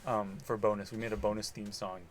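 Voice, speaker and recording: A young man co-hosting a podcast, easygoing and quick to laugh. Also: lowish voice, flat delivery, slight background noise. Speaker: podcast co-host. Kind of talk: casual chat.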